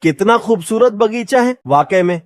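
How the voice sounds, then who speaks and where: A man's voice: high-pitched, lively intonation, normal speed, dry recording, clean studio sound. A man playing an impressed visitor in a cartoon story. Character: impressed male visitor marvelling at a garden.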